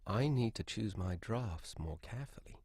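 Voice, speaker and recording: A man's voice: lowish voice, animated, measured pace. A man playing an earnest, mild young weaver boy, the hero of a fantasy video game. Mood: self-reproachful.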